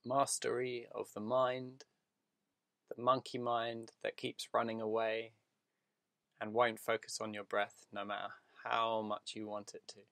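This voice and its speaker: A man leading a guided meditation in a soothing, unhurried manner, speaking in short phrases with long pauses. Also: lowish voice, monotone, vocal fry, pushed and bright, dry recording, studio quality.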